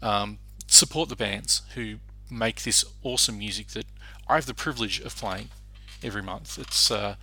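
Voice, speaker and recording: Bright-voiced man hosting a music show. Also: fairly low pitch, normal speed, husky, no echo. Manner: chatty, with fillers and restarts. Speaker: radio show host. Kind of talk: casual music show presenting.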